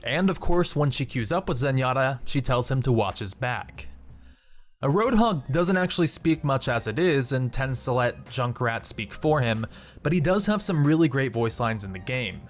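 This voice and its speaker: A man's voice: medium pitch, animated, moderate pace. A young man hosting a gaming channel, reading scripted video narration. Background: background music.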